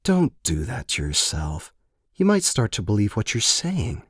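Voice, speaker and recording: Man playing a menacing corporate woman, condescending, deliberate, swooping intonation, dry recording. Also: lowish voice, huge pitch swings, husky, dry recording, exaggerated voice. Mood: coolly warning. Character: cool, amused, menacing corporate woman handler. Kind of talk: scripted short-story character voice.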